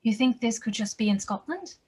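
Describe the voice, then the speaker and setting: A woman's voice: a little high, lively intonation, a little fast, dark tone, slightly rough. A woman acting a headstrong, no-nonsense survivor, firm and curt, in a table read of a screen drama. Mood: anxious, guarded. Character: Lisa, headstrong, no-nonsense woman searching for someone missing.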